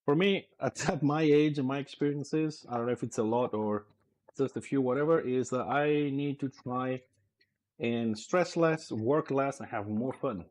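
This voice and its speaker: A man's voice: mid-range voice, normal speed, a little mellow. A man from Greece, whose first language is Greek, chatting casually on a live stream as he cooks in his home kitchen. He is easygoing, laughs often, and has a slight lisp when he speaks English. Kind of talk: casual live-stream chat while cooking.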